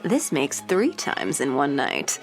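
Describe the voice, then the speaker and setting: A woman's voice: extremely low pitch, wildly swooping pitch, slow, exaggerated voice. A woman playing a glamorous, self-assured, flirtatious socialite in an audio drama, telling stories in a playful, showy way. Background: music.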